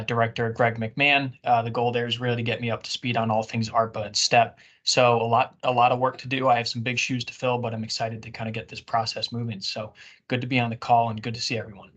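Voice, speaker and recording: A man's voice: mid-range voice, little pitch movement, brisk, a bit bright. A young man introducing himself to colleagues in an online work meeting. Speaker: government agency employee. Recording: dull, lo-fi video call audio.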